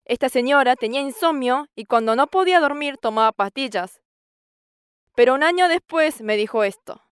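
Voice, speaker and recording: A woman in her sixties gives an earnest church testimony on a studio stage, quoting scripture at length. Her voice is high and clear. The sound is dry, close and steady, from a lapel mic. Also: moderate pace, no echo. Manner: earnest, quotes scripture at length and acts out dialogue with a raised voice. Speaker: farmer giving testimony.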